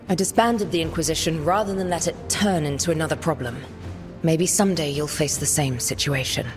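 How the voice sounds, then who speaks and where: Weary resolute fantasy game commander lady, low voice, measured and grave, music behind. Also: big-room sound. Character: weary, resolute former Inquisitor, a woman leader. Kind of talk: video game cutscene acting.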